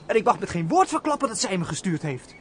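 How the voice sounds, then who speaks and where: A man's voice: high-pitched, sing-song, fast talker, a little hiss or hum. An actor playing a naive, chatty young man, a steward's simple son, in a radio play. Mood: musing, chatty.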